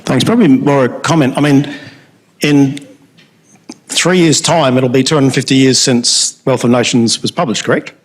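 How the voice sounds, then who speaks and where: A man in the audience at a panel discussion, making a long comment in a measured, searching way. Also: mid-range voice, rough recording. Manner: measured, searching, slows down to make a point. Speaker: audience member.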